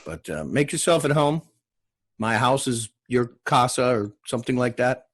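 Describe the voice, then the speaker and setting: Husky, easygoing small-town man, voiced by reader. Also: medium pitch, expressive, normal speed, close to an everyday voice. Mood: hospitable, casual. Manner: casual, folksy. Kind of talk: table read of TV drama script.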